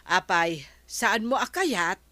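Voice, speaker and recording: Audio drama role: patient Ilocano niece and wife acted by a woman, mid-range voice, unhurried, explaining and soothing. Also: mid-range voice, lively intonation, bright, forceful tone, no room sound. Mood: persuading. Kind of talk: regional audio drama acting.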